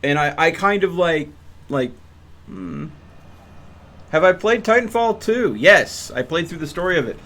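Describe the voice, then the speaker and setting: A man's voice: fairly high pitch, animated, measured pace, long pauses, fairly bright tone. A young man streaming a game, chatting casually with a rambling, hesitant manner. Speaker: gaming streamer. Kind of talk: casual live-stream commentary.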